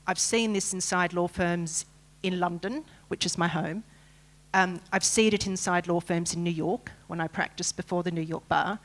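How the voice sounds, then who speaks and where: A woman's voice: fairly low pitch, fairly even intonation, normal speed. An Australian woman, a lawyer speaking on a conference panel, articulate and emphatic, often opening her points with a filler.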